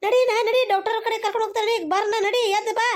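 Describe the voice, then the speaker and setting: A woman's voice: very high-pitched, steady tone, racing. A woman voicing a blunt, baffled village mother-in-law in a cartoon comedy, with a North Karnataka accent, nagging with repeated questions. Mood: alarmed, bossy.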